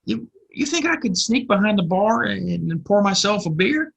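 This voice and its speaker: Man playing creaky, fidgety Western barfly Cole. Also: sits high, expressive, normal speed, a bit bright, full character voice. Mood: nervous. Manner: stammering, hesitant. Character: fidgety, dim, timid Old West barfly, Cole. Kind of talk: Western audio drama acting.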